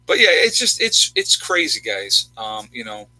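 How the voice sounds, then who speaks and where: A man's voice: mid-range voice, sing-song, a little slow, sharp tone, slightly rough, rough recording. A man in his fifties from upstate New York, talking bluntly and emphatically in a casual chat with viewers. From New York State, USA. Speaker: channel host, army veteran.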